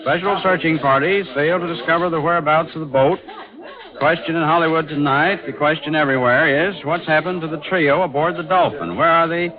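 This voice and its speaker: A man's voice: a little high, a little fast, crowd noise, lightly acted. A man playing an urgent newsroom editor, with punchy, dramatic 1940s radio news delivery. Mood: dramatic reporting. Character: urgent newsroom editor reading a mystery story aloud. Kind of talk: 1940s radio acting, scripted news report. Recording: muffled old radio, newsroom bustle.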